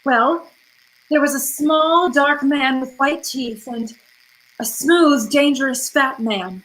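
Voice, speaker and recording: Woman playing a high-pitched, pleading femme fatale. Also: takes it slowly, a bit bright, low-quality recording. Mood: anxious, evasive. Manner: fluttery, hesitant, melodramatic. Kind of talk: old-time radio noir acting.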